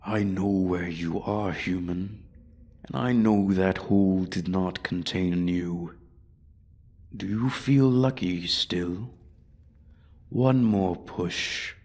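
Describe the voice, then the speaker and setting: Casting: male actor as a menacing dragon for fantasy ASMR roleplay, very low husky voice, slow condescending taunts. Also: a little mellow, full character voice. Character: menacing male dragon toying with human prey.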